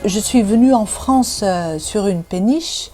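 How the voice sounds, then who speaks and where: Woman, slow and mellow, hesitant and gentle. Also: mid-range voice, expressive, soft background music. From Netherlands. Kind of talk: interview testimony.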